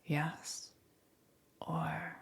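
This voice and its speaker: Woman playing a slow, whispering, menacing demon queen. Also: sits low, completely flat delivery, heavily acted. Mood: softly threatening. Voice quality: breathy, whispery. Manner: slow, coaxing, softly amused and commanding. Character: menacing, teasing demon queen claiming a captured pet. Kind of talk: ASMR roleplay.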